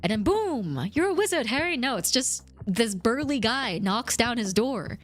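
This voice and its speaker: A woman streamer chatting casually and thanking viewers, her voice slightly rough from a recent cough. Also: fairly high pitch, very animated, unhurried. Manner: chatty, rambling, reads chat and thanks subscribers. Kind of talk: casual livestream chat.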